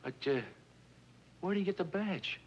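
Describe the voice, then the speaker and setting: A man's voice: high-pitched, a little slow, slightly rough, full character voice. A man playing a wary, folksy shopkeeper who has been swindled, hesitant and plain-spoken, in a 1950s police drama. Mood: earnest, rueful. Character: wary, folksy male shopkeeper, robbery victim. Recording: noisy old 1950s film soundtrack.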